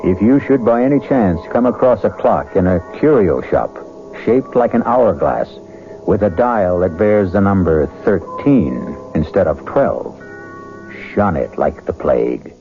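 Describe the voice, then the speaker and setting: A tinny, phone-like old radio recording with faint music underneath. A man with a low voice hosts a mystery show, addressing listeners in an ominous, theatrical way. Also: animated. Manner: ominous, theatrical, measured phrasing. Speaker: host of radio mystery anthology. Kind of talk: 1970s radio drama host narration.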